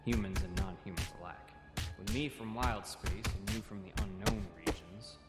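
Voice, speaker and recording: A man's voice: low voice, normal speed, natural voice. An actor playing a wary young male cadet who explains things in an audio comic drama. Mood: guarded. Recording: roomy echo, music behind.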